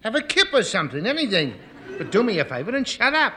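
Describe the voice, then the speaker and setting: A gruff, snappy man in a radio sitcom. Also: high-pitched, wildly swooping pitch, fast. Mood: irritated. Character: gruff, impatient middle-aged man reading the paper. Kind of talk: 1950s radio sitcom acting.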